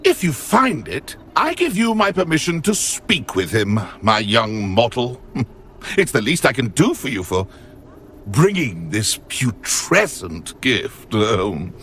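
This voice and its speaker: An actor playing a pompous, mocking British ghost lord in a video game, theatrical and taunting. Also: male voice, mid-range voice, sing-song, takes it slowly, fairly bright tone, slight background noise. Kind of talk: video game dialogue acting.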